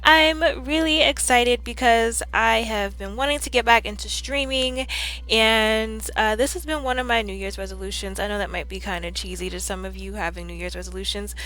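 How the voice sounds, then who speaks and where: Young woman streamer, bright, a bit high, slightly hoarse, chatty, upbeat. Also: moderate pace, rough recording. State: slightly hoarse, scratchy throat. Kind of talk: casual live-stream chat.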